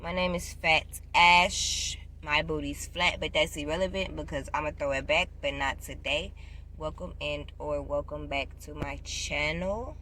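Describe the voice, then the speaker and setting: A woman in her twenties chatting casually to camera, giving job advice, loud and playful and repeating herself often. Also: sits low, a little slow, slight background noise. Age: 20s. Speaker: vlogger.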